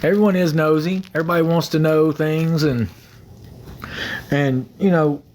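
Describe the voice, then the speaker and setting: A man's voice: on the high side, fairly even intonation, moderate pace, darkish tone, a little hiss or hum. A young man chatting on a phone livestream, rambling, repeating himself and thanking viewers by name. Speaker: livestream host. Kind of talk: casual livestream chat with viewers.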